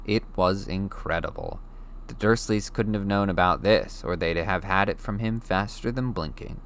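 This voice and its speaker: Deep-voiced man reading a story aloud. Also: moderate pace, a bit bright, slight background noise. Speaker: audiobook reader.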